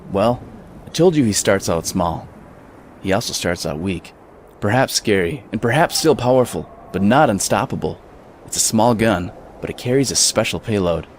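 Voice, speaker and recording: Man as a low-voiced, grim lone gunman. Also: expressive, normal speed, slight background noise, lightly acted. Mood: solemn, explaining. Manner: solemn, determined. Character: grim, resolute lone gunman, husband and father. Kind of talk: old-school radio drama acting.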